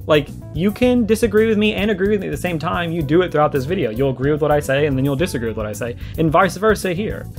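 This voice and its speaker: A man giving argumentative video commentary, explaining his points emphatically and repeating them to be clear. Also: on the high side. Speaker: video creator giving a response. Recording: music behind the voice. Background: music.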